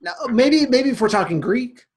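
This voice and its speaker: A husky man chatting loosely on a podcast with his friends. He riffs on his own jokes and trips over restarts as he goes. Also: very high-pitched, expressive, moderate pace. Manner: joking, rambling with many restarts. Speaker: podcast host. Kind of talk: casual chat among friends.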